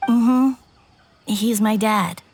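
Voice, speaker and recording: A woman's voice: mid-range voice, drawn-out words, loud music behind the voice. A woman voicing a shy, sincere little boy in a video game, speaking hesitantly. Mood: matter-of-fact.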